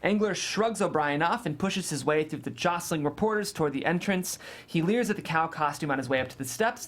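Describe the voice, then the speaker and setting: A man serves as narrator at a script table read. He reads scene descriptions and stage directions as scripted narration. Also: a little high.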